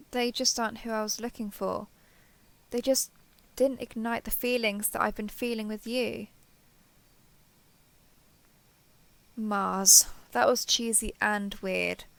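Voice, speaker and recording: A woman's voice: mid-range voice, vocal fry, fairly bright tone, no room sound. A woman playing a sheltered young female alien, naive and obsessively devoted, talking earnestly and intimately to the listener in an audio roleplay. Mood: shy, fond. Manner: earnest, wheedling, over-explaining.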